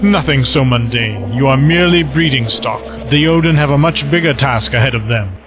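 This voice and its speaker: A man's voice: medium pitch, moderate pace, noisy background, close to an everyday voice. An actor playing a cold, commanding male villain in an audio drama, smug and menacing. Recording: music bed behind voices.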